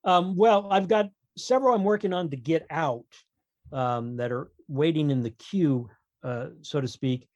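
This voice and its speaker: A man's voice: fairly high pitch, measured pace, darkish tone, no room sound. A man talking casually as an author guest on a podcast, explaining his opinions with wry humour.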